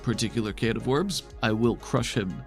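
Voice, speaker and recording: A gentle, stressed animal-keeper dad in his fifties, played by a man at a game table. He speaks haltingly and modestly, with a creaky edge. Faint music plays behind. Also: mid-range voice, lightly acted. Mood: nervous, affectionate. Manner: hesitant, halting, self-effacing.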